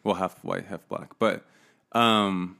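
Deep, creaky-voiced man being interviewed. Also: steady tone, takes it slowly, pushed and bright. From grew up overseas, in Russia, China, Taiwan and Uzbekistan. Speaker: podcast guest. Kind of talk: casual interview, telling own story.

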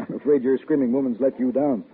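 A man's voice: medium pitch, fairly even intonation, fast talker, lightly acted. Man playing a firm, teasing dad, tinny old radio. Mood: indulgent, skeptical. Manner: paternal, mock-formal, gently teasing. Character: patient but firm father, teasing, dismissive of child's worries. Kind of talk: 1950s radio drama acting. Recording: tinny, faintly noisy 1950s radio recording.

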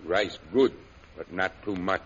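Low-voiced, loyal simple sidekick. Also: male voice, a little slow, fairly bright tone, slightly rough, exaggerated voice. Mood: subdued, plain. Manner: clipped broken English, deferential. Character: loyal, simple male native companion, humble, deferential. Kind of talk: 1930s radio serial acting. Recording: muffled, very noisy 1930s radio recording.

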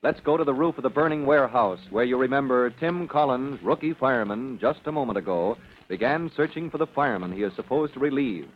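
A man's voice: medium pitch, lively intonation, brisk, lightly acted. An urgent radio serial narrator, played by a man, delivers each phrase with dramatic, declamatory weight. The old recording is muffled, with a faint layer of noise. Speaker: announcer and narrator.

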